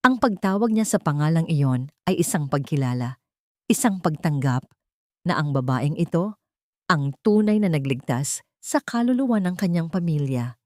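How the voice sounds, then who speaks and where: A woman with a Filipino accent narrating a love-story audio drama in a measured, descriptive way. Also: deep voice, lively intonation, a little mellow, no room sound, clean studio sound.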